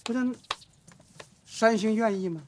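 An actor in a TV drama playing an incredulous village elder who questions and scolds a youngster. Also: male voice, high voice, a little slow, a little mellow, slight background noise. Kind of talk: TV drama acting.

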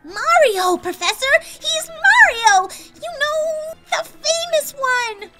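A woman's voice: very high-pitched, sing-song, slow pace, loud music behind the voice, heavily acted. A woman voicing a perky, eager young female college student creature in a video game, bubbly and exclamatory. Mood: excited, eager.

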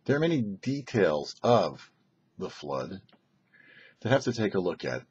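An older man, a rabbi hosting a short religious teaching, speaking in deliberate phrases with emphatic stresses. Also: deep voice, big pitch swings, a little mellow, husky, lo-fi sound. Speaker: rabbi, show host. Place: small indoor set, seated before backdrop.

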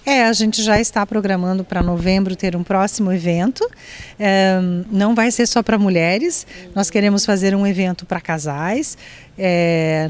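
A woman's voice: medium pitch, a little mellow. Enthusiastic Brazilian woman organizer. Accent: Brazilian Portuguese. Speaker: event organizer. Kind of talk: casual interview chat. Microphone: handheld microphone.

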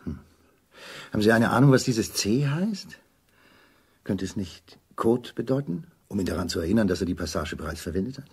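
An actor playing a businesslike, calculating secret service doctor in a radio drama. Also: male voice, fairly low pitch, lively intonation, moderate pace, breathy edge, full character voice. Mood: probing. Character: brisk, smooth-mannered male secret service doctor.

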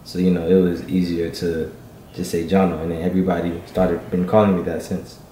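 Low-voiced teen boy, loose and rambling. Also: level tone, fairly quick, dark tone, echoey room, slight background noise. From Miami. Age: teens. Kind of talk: audition self-introduction. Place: living room with bookshelves.

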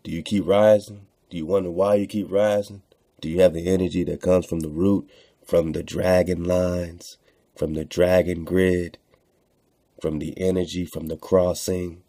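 A man's voice: low voice, flat delivery, normal speed, dark tone, no echo, clean studio sound. A man hosting a radio show, reading texts aloud with informal commentary, in a rhetorical, preacher-like way, repeating phrases for emphasis.

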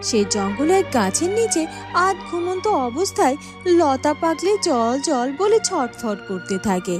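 A woman giving scripted, expressive storybook narration for a children's fairytale cartoon. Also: high voice.

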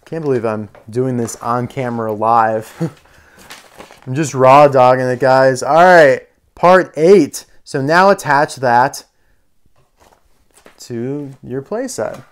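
A man with a clear, smooth voice talks casually through a hands-on toy demonstration. His pitch sits in the middle range. He is chatty and unscripted, thinking aloud as he works. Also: takes it slowly, pauses often. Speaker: toy reviewer. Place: indoor room full of toy displays.

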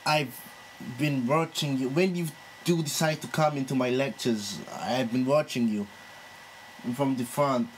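A man voicing an university lecturer on a prank call, with a halting, pleading delivery. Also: medium pitch, a little hiss or hum, close to an everyday voice, poor audio. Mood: pleading, smitten. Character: lovesick creepy Italian male university lecturer.

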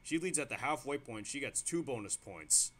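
Male amateur race caller, mid-range voice, excitable and rough-edged delivery, faint noise floor. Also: mid-range voice, moderate pace. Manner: excitable, restarts sentences with fillers. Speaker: race commentator. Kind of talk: amateur sim racing play-by-play commentary.

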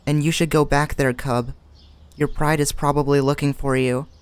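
A woman's voice: deep voice, level tone, moderate pace, smooth, no echo, natural voice. A woman voicing a wary, firm outsider lioness mother with a cool, dry manner in a comic dub. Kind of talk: comic dub character acting.

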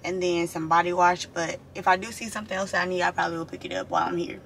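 A woman's voice: lowish voice, level tone, very fast, gravelly. A woman in her twenties chatting casually and informally to her viewers as a vlogger. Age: 20s. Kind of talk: casual vlog chat. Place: parked car and a room at home, indoors. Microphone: camera at arm's length or propped close. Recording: noisy ambient camera audio.

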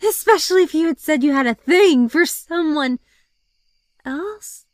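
A woman's voice: high-pitched, lively intonation, a little slow, no room sound. A woman voicing an anxious, impulsive teenage girl with magic, emotional and fretful, in a cartoon comic dub. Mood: surprised, uneasy. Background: background music.

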